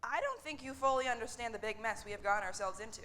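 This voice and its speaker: A woman's voice: a little high, quick pace, a bit bright, natural voice. A woman playing a blunt, exasperated factory worker caught up in a revolt, in a stage drama. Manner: sarcastic, exasperated, swearing.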